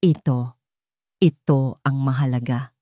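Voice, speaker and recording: A woman's voice: a bass voice, fairly even intonation, slow pace, dark tone, no echo, clean studio sound. A woman with a Filipino accent reading a scripted, dramatic audio story as its narrator.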